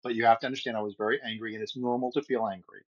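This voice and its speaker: Middle-aged dude, faint new york accent, dry jokes, dull lo-fi audio. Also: male voice, mid-range voice, steady tone, rapid-fire, breathy edge. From Brooklyn. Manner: dry joking asides. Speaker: podcast co-host. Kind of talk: casual conversation.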